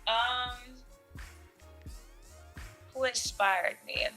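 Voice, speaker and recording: A young woman from Jamaica, a fashion model, answering interview questions in a relaxed way with fillers and soft laughs. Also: fairly high pitch, extremely slow, soft background music.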